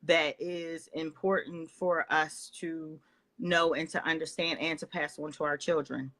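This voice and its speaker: Woman interviewing from home, super bright voice, lots of ums, keeps saying right and absolutely. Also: low voice, fairly even intonation. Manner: halting, many fillers, affirming. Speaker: interview host. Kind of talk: interview chat.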